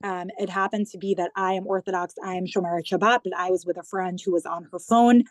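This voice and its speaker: A woman's voice: lowish voice, fairly even intonation, quick pace, dry recording, studio quality. Earnest American woman on a video call. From New York, United States. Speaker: guest, government digital communications head.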